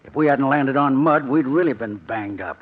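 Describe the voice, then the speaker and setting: An American actor playing a tough, steady crook who leads his younger partner in 1940s radio drama style, terse and commanding. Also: mid-range voice, moderate pace, lightly acted. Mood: calm, determined. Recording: muffled, noisy old radio broadcast.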